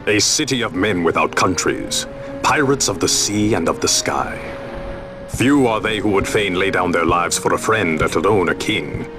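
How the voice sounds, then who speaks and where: A grave, deep-voiced nobleman. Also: animated, over music. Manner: formal, archaic, measured speech. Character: grave, measured older nobleman advising rebels. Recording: game cutscene with loud orchestral score.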